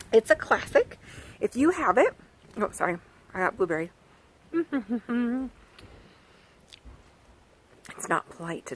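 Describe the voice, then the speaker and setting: A woman, a children's author, reading a picture book aloud outdoors by her farm garden, talking to young listeners in an animated, sing-song way and asking them questions. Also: mid-range voice, slight background noise, poor audio.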